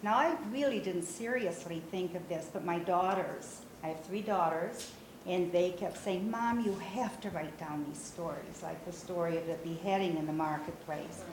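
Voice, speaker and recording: A woman in her sixties from Brazil, a native Portuguese speaker, giving an informal talk about writing her memoir to a small group, conversational with many "uh" pauses. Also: on the low side. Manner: conversational, frequent "uh" pauses, gestures while speaking. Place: small meeting room, indoors. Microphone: far camera. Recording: roomy echo, faint room noise.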